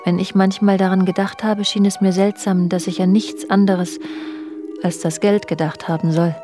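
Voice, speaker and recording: A woman's voice: medium pitch, level tone, moderate pace, lightly acted. An actress playing a wounded, brooding woman who recounts a secret love affair in controlled, literary German radio drama narration. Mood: bitter, controlled. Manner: controlled, literary first-person telling. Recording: radio drama with music underneath. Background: music.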